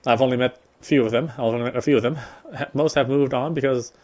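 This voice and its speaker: A man reading a visual novel's story narration aloud as a gameplay streamer, in a measured way. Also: medium pitch, fairly even intonation, darkish tone.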